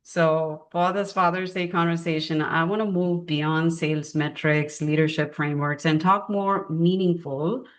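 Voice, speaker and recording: A woman's voice: low-pitched, fairly even intonation, measured pace, few pauses. A woman in her forties hosting a podcast, introducing her guest admiringly with some fillers. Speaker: podcast host.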